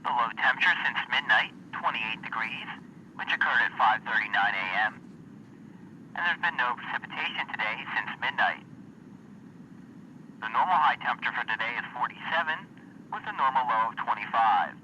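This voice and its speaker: Synthetic male voice, steady mechanical reading, very bright tone, faint hiss. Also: medium pitch, a little fast, dull audio. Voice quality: synthesized computer voice. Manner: steady, mechanical delivery of figures. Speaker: weather radio announcer. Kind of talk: automated climate report reading.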